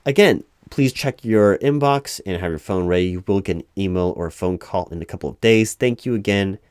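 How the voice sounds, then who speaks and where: A man acting as an exasperated recruiting manager in an audio interview roleplay, with a low voice and a close, dry sound. He stays polite and insistent, halting and repeating himself as he over-explains. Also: darkish tone, smooth, no room sound, natural voice. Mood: apologetic, firm. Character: exasperated male recruiting manager, polite but increasingly weary. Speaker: job interviewer. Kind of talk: one-sided ASMR roleplay, spoken at normal volume.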